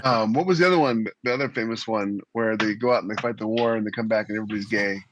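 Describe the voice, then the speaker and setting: A man's voice: fairly low pitch, fast talker, background noise. A man co-hosting a podcast, telling things in casual conversation on a slightly dull, close recording. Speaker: podcast co-host. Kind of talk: casual storytelling chat.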